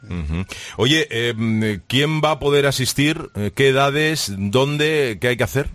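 A man's voice: medium pitch, expressive, a bit bright, no echo. A man hosting a radio show in the studio, chatty and joking, interviewing a guest. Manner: jokey, chatty, fast asides. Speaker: radio host. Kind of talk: lively radio talk and interview.

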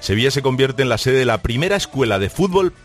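A man's voice: medium pitch, expressive, loud music behind the voice. A man hosting a radio show in the studio, chatty and joking, interviewing a guest. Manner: jokey, chatty, fast asides. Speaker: radio host. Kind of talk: lively radio talk and interview.

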